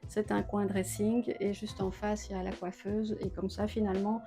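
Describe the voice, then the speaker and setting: A woman's voice: mid-range voice, little pitch movement, normal speed, warm tone, soft background music. A woman who grew up in Belgium, showing her home in a casual interview and talking with many hesitant filler sounds. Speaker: homeowner giving a home tour.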